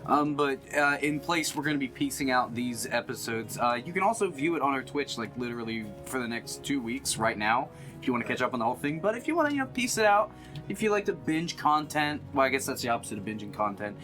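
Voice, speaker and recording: A man's voice: mid-range voice, animated, fast, music in the background. A man playing and hosting a tabletop roleplaying stream, talking in casual, joking banter. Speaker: player and show host.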